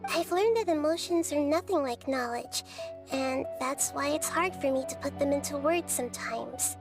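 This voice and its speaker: A small girl deity from a video game, with a high, childlike voice. She speaks gently and thoughtfully, in measured, articulate phrases full of earnest wisdom. Music plays clearly behind her. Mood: reflective, gentle.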